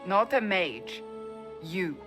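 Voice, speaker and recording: A firm, earnest advisor. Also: female voice, lowish voice, animated, very slow. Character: resolute woman advisor of a holy order. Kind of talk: video game cutscene dialogue. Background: faint game music.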